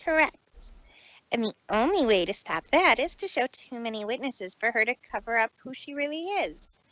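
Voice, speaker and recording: A woman's voice: a little high, lively intonation, moderate pace, fairly bright tone, no echo, pitched above the performer's own voice, rough recording. A woman voicing a proud but troubled female pony magician, theatrical and formal, who speaks of herself in third person. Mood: smug.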